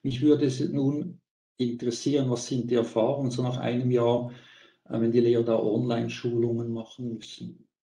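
A man's voice: mid-range voice, level tone, normal speed, mellow, echoey room, poor audio. A man moderating an online conference, introducing the next speaker in German.

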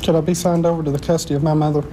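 A man's voice: a little high, level tone, racing. A young man answering questions hesitantly as a suspect in an interrogation room. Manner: hesitant, mumbling short answers. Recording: noisy raw footage.

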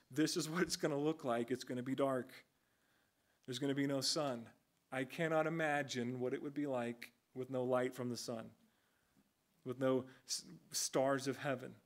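A man in his thirties preaching a conversational, earnest sermon from a pulpit in a church sanctuary. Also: medium pitch. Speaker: pastor. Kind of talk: expository sermon. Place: church sanctuary with a stage and band gear.

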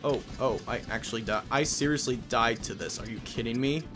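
A man hosting a let's play, giving chatty, self-mocking commentary with quick asides. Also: mid-range voice, over music. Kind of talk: casual game commentary.

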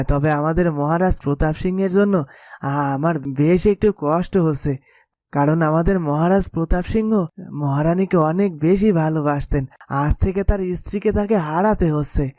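A man's voice: on the high side, darkish tone, dry recording, clean studio sound, natural voice. A man voicing a loyal, tender-hearted royal servant in a fairytale cartoon, speaking earnestly and with sympathy. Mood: sympathetic. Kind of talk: Bengali fairytale cartoon dialogue.

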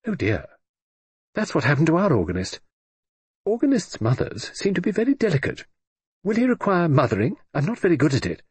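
A husky-voiced man voicing an elderly aristocratic great-aunt who is brisk, teasing and dry-witted. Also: deep voice, sing-song, darkish tone, no room sound, pitched above the performer's own voice, full character voice. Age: older.

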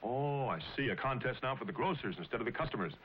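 A man's voice: medium pitch, lively intonation, fast talker, slightly rough. An American man in middle age playing an earnest, concerned father in a 1950s television drama. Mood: polite. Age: middle-aged. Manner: earnest, paternal. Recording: muffled 1950s television soundtrack, music behind.

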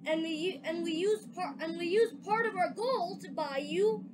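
A woman voicing an eager young boy, reading haltingly and repeating phrases, with music behind. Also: very high voice, background noise, voice pushed up. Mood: excited.

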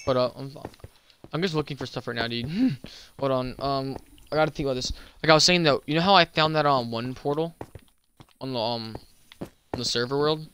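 A man's voice: medium pitch, brisk, long pauses, a bit bright, slight background noise. A young man streaming a game and chatting casually, stumbling over words, slurring a little, with a slight lisp. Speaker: gaming YouTuber and streamer. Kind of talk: casual gameplay chat.

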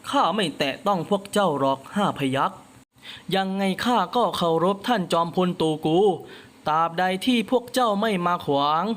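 A man's voice: sits high, animated, normal speed, a bit bright. A man voicing an arrogant young male master who talks with mocking contempt. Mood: threatening. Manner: mocking, scornful. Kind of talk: manga recap voice acting.

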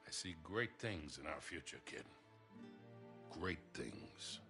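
An actor playing a gruff, worldly older American treasure hunter in a video game cutscene, with a gravelly, wry drawl. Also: male voice, extremely low pitch, big pitch swings, slow pace, exaggerated voice. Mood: wry, persuasive. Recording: music behind dialogue.

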